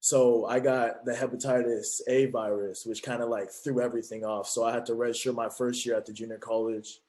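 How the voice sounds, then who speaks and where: A young man from California tells his story in an earnest, steady way, his mid-pitched voice even and plain. He answers at an unhurried pace. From Fresno, California. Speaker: guest, trainer. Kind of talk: casual interview.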